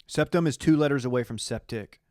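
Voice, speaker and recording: A man of medium pitch banters casually as a podcast co-host. He delivers his jokes mock-seriously, with a straight face. The recording is very dry. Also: brisk, a little mellow, dry recording.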